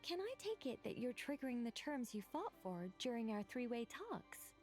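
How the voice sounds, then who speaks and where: An actress voicing an ancient angelic mage in a video game, formal and ceremonious with a sly, playful streak. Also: female voice, a little high, very animated, moderate pace, a little mellow, soft background music. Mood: poised, knowing. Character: ancient angelic female mage, poised, grand, slyly playful. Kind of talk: scripted video game dialogue acting.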